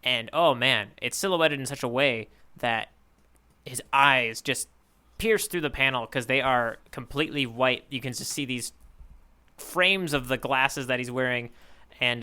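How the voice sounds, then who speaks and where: A man's voice: mid-range voice, expressive, unhurried, pushed and bright, smooth. A man co-hosting a podcast, chatting casually about manga in wordy, playful descriptions. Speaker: podcast co-host. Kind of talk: casual manga discussion chat.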